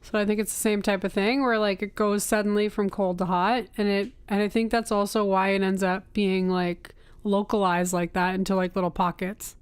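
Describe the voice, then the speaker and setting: A woman's voice: mid-range voice, little pitch movement, normal speed, few pauses, clean tone, no room sound. A woman, a teacher co-hosting a podcast, chatting casually and thinking aloud, her voice worn from teaching.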